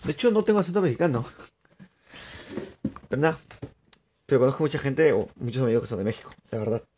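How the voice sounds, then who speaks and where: A young man from Peru, chatting excitably to viewers through live gameplay commentary. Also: medium pitch, fast talker, slight background noise. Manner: chatty, excitable, laughs often, addresses viewers. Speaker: streamer.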